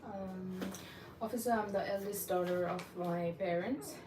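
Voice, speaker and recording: A woman's voice: lowish voice, measured pace, dark tone, reverberant, far from the mic, a little hiss or hum. A young woman from Nepal, answering mock visa interview questions hesitantly and politely, with many fillers. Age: young adult.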